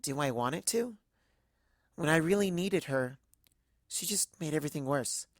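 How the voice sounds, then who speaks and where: A woman's voice: deep voice, animated, moderate pace, no room sound, studio quality. A woman voicing a tired, bookish young female pony in a subdued, matter-of-fact way, in a story reading. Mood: subdued, sad.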